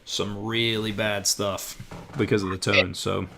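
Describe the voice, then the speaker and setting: A man hosting a podcast, chatting in a relaxed way and reading out listener questions. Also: on the low side, unhurried, vocal fry, background noise. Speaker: podcast host. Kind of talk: casual chat.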